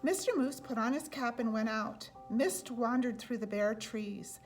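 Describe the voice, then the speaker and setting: A woman's voice: fairly high pitch, unhurried, faint music behind. A woman reading a children's picture book aloud as a storyteller.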